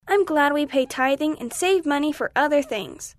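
Woman voicing an earnest, high-pitched young schoolgirl. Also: normal speed, lightly acted. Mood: accepting. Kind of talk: children's story dialogue reading.

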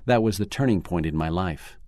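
A man reading a first-person article aloud in a measured, earnest way. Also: low voice, darkish tone, no echo, clean studio sound. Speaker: narrator.

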